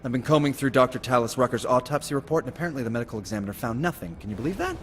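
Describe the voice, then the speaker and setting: An actor playing a wry, dogged forensic investigator in a video game, talking in a chatty, emphatic way. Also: male voice, medium pitch, big pitch swings, very fast, a little hiss or hum, natural voice. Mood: incredulous, explaining. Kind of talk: cinematic video game acting. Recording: game soundtrack music behind.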